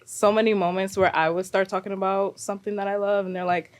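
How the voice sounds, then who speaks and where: A woman's voice: mid-range voice, flat delivery, fast talker. A woman from Guyana who grew up in Brooklyn, chatting casually as a talkative podcast guest. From born in Guyana, raised in Brooklyn, New York from about eight. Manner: chatty, peppers talk with "like" and "you know". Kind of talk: casual chat.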